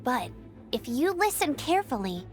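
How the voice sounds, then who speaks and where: A woman's voice: sits high, big pitch swings, moderate pace. A woman voicing a plucky, upbeat teenage girl knight scout, spirited and earnest, in an anime-style video game. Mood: determined. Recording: game audio with background music.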